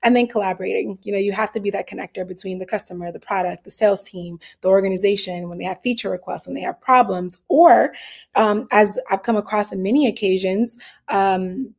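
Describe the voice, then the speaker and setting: A woman's voice: mid-range voice, a little fast, vocal fry. A woman from the Dominican Republic giving an earnest, candid summit talk, with frequent fillers and restarts. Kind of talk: conversational online presentation.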